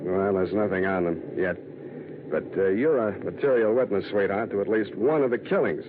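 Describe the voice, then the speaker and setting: A man's voice: sits low, normal speed, phone-quality sound, background noise, close to an everyday voice. An actor playing a cool, wisecracking male private detective with a dry, sardonic, slangy delivery in 1940s radio noir style. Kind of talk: 1940s radio acting, noir narration and dialogue. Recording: thin vintage radio recording with music.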